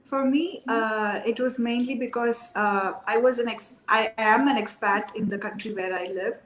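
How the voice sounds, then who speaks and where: A woman's voice: on the high side, moderate pace, fairly bright tone. Hesitant woman in a roomy space. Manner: hesitant, many fillers. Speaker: guest. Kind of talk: casual chat. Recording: roomy with faint noise.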